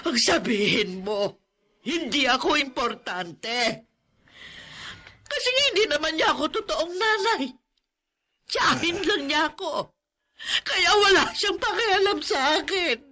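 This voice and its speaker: A woman's voice: high-pitched, lively intonation, slow pace, long pauses, pushed and bright, exaggerated voice. A woman playing a hurt, self-pitying older aunt in a radio drama, tearful and pleading in her manner. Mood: bitter hurt. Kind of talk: Filipino radio drama acting.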